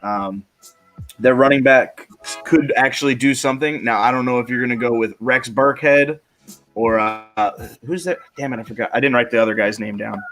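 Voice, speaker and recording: Man, medium pitch, casual livestream panelist, halting, informal, thinking aloud, sports advice. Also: a bit bright. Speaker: panelist giving fantasy football advice.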